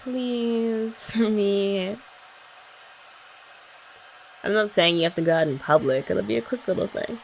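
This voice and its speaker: A woman's voice: medium pitch, unhurried, creaky, long pauses, a little hiss or hum, rough recording. A young woman in an ASMR girlfriend roleplay, chatting in a playful, teasing way.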